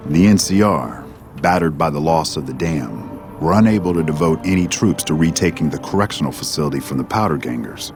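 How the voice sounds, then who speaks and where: Stern game epilogue chronicler, very deep pitch, grave factual reading, music bed. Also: male voice, lively intonation, fairly quick. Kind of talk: scripted game ending narration.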